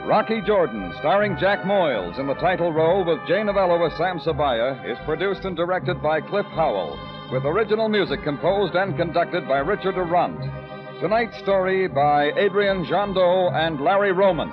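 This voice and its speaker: Male radio announcer, scripted old-time sign-off, slightly high pitch, music behind, muffled recording. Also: lively intonation, normal speed.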